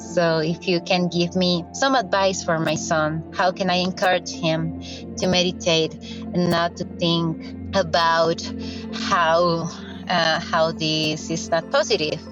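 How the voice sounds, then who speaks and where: A woman from Costa Rica asks her question carefully and sincerely, as a listener calling in. Her voice sits a little low. The recording is dull and lo-fi, with clear music playing underneath. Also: level tone, slow pace. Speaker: caller.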